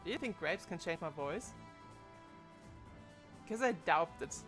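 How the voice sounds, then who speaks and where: A woman's voice: lowish voice, lively intonation, a little slow, a bit bright, soft background music. A woman in her twenties streaming live from home, chatting casually and thinking aloud into a desk microphone as she puzzles over her setup. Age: 20s.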